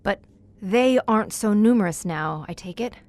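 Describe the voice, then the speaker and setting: An actress playing a composed, inquisitive questioner with a formal, literate manner in an audio horror drama. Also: female voice, medium pitch, lively intonation, normal speed, darkish tone, natural voice. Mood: curious, reasoning. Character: composed, inquisitive woman questioning a monster hunter.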